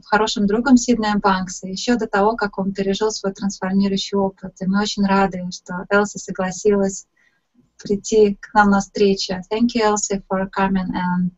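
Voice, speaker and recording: Woman interpreting over a dull call line. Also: medium pitch. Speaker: host and interpreter. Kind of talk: consecutive interpreting into Russian. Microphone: webinar call.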